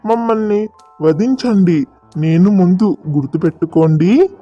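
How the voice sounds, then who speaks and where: A man's voice: sits high, a little slow, dark tone. A man acting a stubborn guru and a gullible king in formal, declamatory children's folk-tale style. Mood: persuasive, grave. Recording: muffled sound with faint music.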